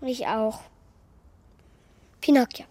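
A woman's voice: a little high, takes it slowly, a little mellow, no echo. A woman playing a small, naive living puppet boy in a children's radio play, earnest and plaintive. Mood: sad, ashamed.

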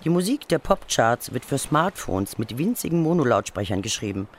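Man, scripted radio feature narration, animated pitch, slight background noise. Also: normal speed.

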